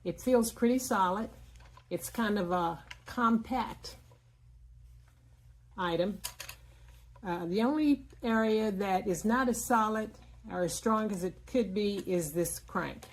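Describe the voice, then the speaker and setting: Female product reviewer, medium pitch, plainspoken and explanatory, casual hands-on demo delivery with frequent mid-sentence pauses.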